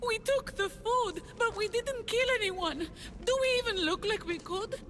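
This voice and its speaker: A woman's voice: high voice, expressive, slow pace, a bit bright, exaggerated voice. A woman playing a desperate, starving ancient Greek peasant in a video game, pleading in distress. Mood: distraught, pleading. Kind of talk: video game cutscene acting. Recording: long reverb, faint noise.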